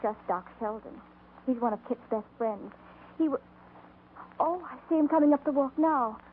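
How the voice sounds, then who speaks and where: Lady playing worried american pioneer wife, earnest, kinda high voice, muffled 1950s radio western. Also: normal speed, close to an everyday voice. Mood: pleading. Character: worried, earnest frontier wife, a grown woman. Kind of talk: 1950s radio drama acting. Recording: muffled, noisy old radio recording.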